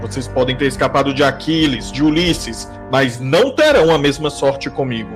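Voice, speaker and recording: An arrogant warrior king in an epic audio drama, played by a man with a Brazilian accent. He sneers and declaims his challenges at a medium pitch. Music plays clearly behind him. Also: animated, moderate pace, close to an everyday voice. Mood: scornful, challenging.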